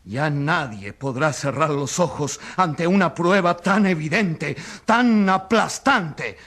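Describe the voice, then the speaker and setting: A man's voice: on the high side, lively intonation, slow pace, fairly bright tone, full character voice. A man playing a righteous, insistent journalist who pleads emphatically in a radio courtroom drama. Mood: triumphant. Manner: emphatic, theatrical. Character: righteous crusading male journalist, insistent and defiant.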